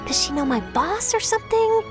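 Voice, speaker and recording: A woman's voice: very high-pitched, lively intonation, fairly quick, loud music behind the voice. An actress playing an eager young female newspaper journalist in a video game. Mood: modest, surprised. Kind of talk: video game character dialogue.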